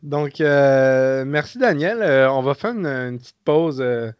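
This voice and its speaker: Smooth-voiced man hosting a podcast. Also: medium pitch, lively intonation, unhurried, no room sound. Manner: hesitant, host-like, steering the talk. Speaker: podcast host. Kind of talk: casual chat. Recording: dry, dull lo-fi audio.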